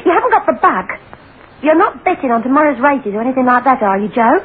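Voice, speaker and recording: A woman's voice: high voice, big pitch swings, quick pace. An actress playing a suspicious, fretful working-class wife in an old-time radio drama, questioning and nagging her husband. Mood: worried, suspicious. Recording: tinny, noisy old radio broadcast.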